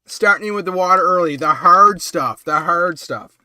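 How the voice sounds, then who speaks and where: A man with a cold hosts a relaxed late-night live stream in a high, bright voice. His tone stays smooth and clear despite the cold. He rambles and chats, full of ums and short laughs, and the sound is dry and close. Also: normal speed. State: has a cold.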